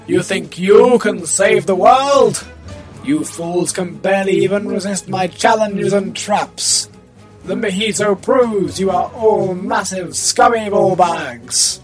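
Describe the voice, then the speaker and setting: A woman's voice: mid-range voice, slow, natural voice. A woman playing a tiny, pompous male magical guru who gives indignant, grandiose commands in a comic radio play. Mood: pompous, scornful. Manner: grandiose, commanding, archaic phrasing. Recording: music behind the voice.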